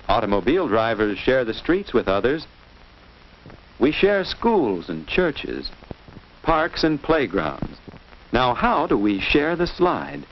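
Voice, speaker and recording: An American man reading scripted narration for a 1950s children's instructional film, in a didactic way, putting questions to young listeners. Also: mid-range voice. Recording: muffled, noisy old 1950s film soundtrack.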